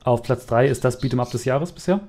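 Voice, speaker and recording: A man's voice: medium pitch, fast, very noisy. A man hosting a casual gaming podcast, quizzing his co-hosts and often searching for words. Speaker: podcast host.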